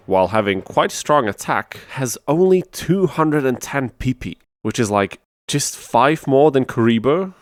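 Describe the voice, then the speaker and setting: A man's voice: medium pitch, dry recording, studio quality. A man hosting a gaming video, narrating a challenge run from a script with conversational, self-deprecating asides. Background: music under the voice.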